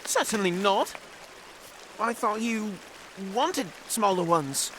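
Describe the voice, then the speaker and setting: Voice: mid-range voice, lively intonation, slow pace, background noise. An actor playing a proud, naive boy from a castle in a historical audio drama, indignant and sometimes hesitant. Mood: defensive.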